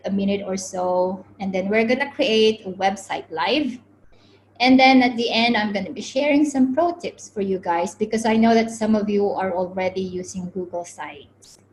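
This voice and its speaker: A woman's voice: medium pitch, slight background noise, rough recording. A woman from the Philippines in her forties, leading an online workshop for teachers over a video call in an upbeat way, often saying "okay". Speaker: webinar presenter.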